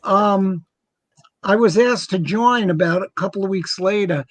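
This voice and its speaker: A man from New York with a notably high voice chats casually as a comic radio co-host. He rambles and jokes as he tells anecdotes. The sound is dry, with no room echo. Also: measured pace. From New York, United States. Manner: joking, rambling.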